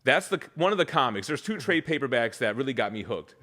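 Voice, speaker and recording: A man, hosting a casual chat and talking fast and eagerly, with casual swearing. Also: medium pitch, big pitch swings, pushed and bright. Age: 30s. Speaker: podcast host.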